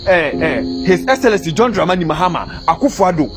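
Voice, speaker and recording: A man's voice: high voice, expressive, fast. A man giving opinion commentary, asking questions and answering them himself. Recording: music playing underneath. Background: music.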